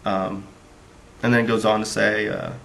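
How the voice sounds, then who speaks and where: Male reviewer, casual and rambling, flat monotone delivery, faint hiss behind. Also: fairly low pitch, normal speed, vocal fry. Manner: rambling, heavy with fillers. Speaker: book reviewer. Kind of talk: casual spoken book review.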